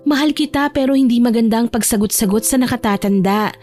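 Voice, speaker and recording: Woman acting a high-voiced, pleading older cousin. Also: fast talker, a little mellow, soft background music, lightly acted. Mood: anxious pleading. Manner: pleading, sisterly. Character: caring, anxious older female cousin, gently scolding. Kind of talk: audio drama dialogue.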